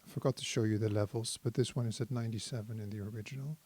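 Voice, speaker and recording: A man's voice: lowish voice, fairly even intonation, rapid-fire, a little mellow. A man giving a casual hands-on tutorial, talking as a presenter who thinks aloud while he works. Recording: synthesizer tones behind the voice. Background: synthesizer sounds.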